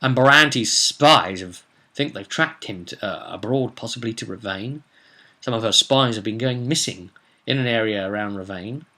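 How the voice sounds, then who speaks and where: A man's voice: fairly low pitch, moderate pace, bright, forceful tone. A man narrating a tabletop roleplay story as game master, casual but dramatic, letting phrases trail off. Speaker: game master and vidcast host.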